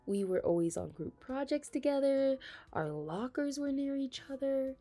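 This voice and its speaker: A young woman playing a flustered, affectionate best friend with a secret crush in an intimate ASMR roleplay, speaking hesitantly and haltingly. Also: high voice, lively intonation, warm tone, faint music behind. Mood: flustered, surprised. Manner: hesitant, halting, breaking into nervous laughs. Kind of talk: ASMR romantic roleplay, intimate conversational acting.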